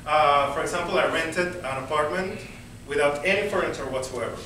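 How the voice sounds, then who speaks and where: A man's voice: on the high side, a little fast, sharp tone. A young man from Venezuela with a Spanish accent, performing a self-deprecating stand-up set and laughing at his own jokes. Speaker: stand-up comedian. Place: comedy club stage. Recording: echoey club taped from far off, noisy crowd.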